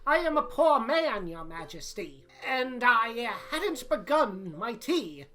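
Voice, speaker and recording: A woman's voice: fairly high pitch, very animated, slow, pushed and bright, faint music behind, exaggerated voice. A woman playing a nervous, flustered court character in an audio drama, with a jittery, declamatory delivery. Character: nervous, flustered court figures: herald and witness.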